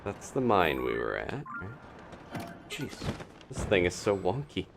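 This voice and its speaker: A man's voice: low-pitched, wildly swooping pitch, slow, creaky, over music. A man giving casual live video game commentary as a streamer, musing to himself and thinking aloud as he plays.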